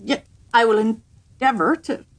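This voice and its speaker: A woman's voice: fairly high pitch, unhurried. A woman doing a haughty, fussy lord in waiting.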